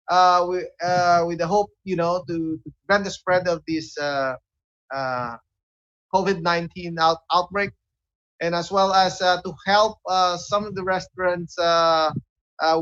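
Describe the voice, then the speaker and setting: A man with a Filipino accent chatting as a radio show co-host, halting and often pausing to search for words. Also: sits high, fairly bright tone, no echo, lo-fi sound. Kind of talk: casual talk show chat.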